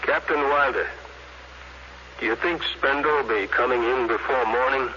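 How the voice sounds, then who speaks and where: A man's voice: mid-range voice, moderate pace, natural voice. An actor playing a deferential, uneasy crewman in a 1950s radio drama, talking plainly and respectfully to a superior. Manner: respectful, plain-spoken military address. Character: deferential, uneasy male crewman reporting to his captain. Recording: muffled, noisy old radio recording.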